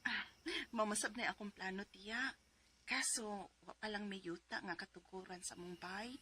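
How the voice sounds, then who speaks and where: A woman's voice: mid-range voice, fairly even intonation, normal speed, sharp tone, a little hiss or hum. A woman in her forties with a Cebuano accent, earnestly narrating her own story as a letter sender. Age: 40s.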